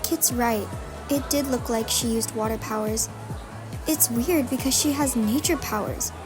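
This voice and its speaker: A woman's voice: fairly high pitch, a little slow, music in the background, lightly acted. A woman acting a practical, level-headed young friend in an amateur fantasy roleplay drama. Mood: matter-of-fact.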